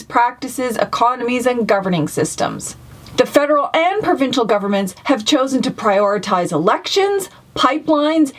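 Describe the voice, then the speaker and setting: A Canadian woman giving prepared political commentary in an emphatic, indignant manner with deliberate phrasing. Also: high-pitched.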